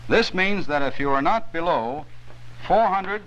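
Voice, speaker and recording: A man with an American accent gives scripted instructional narration for a 1950s training film, in a measured, authoritative way. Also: on the high side, animated, fairly bright tone. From United States. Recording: dull, noisy 1950s film soundtrack.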